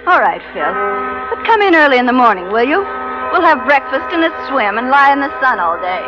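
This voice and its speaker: A woman's voice: high-pitched, sing-song, fairly quick, over loud music. A woman playing a cool, coquettish, scheming femme fatale in an old radio drama, arch and sweetly coy. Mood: sweet, inviting. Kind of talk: 1940s radio drama acting. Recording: muffled old radio recording.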